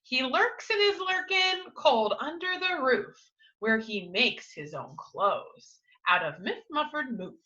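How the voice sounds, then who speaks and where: A woman reading a picture book aloud to young children like a teacher, with expressive, dramatic emphasis and coaxing questions. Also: sits high, takes it slowly, dull audio. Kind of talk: animated children's storytime read-aloud with asides and questions.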